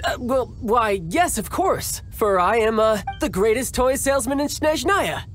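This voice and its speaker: An actor playing a suave, smug young fighter in a video game cutscene, talking in a smooth, mocking, politely condescending way. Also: male voice, very high voice, lively intonation, a little slow, a bit bright, soft background music, exaggerated voice. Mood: flustered.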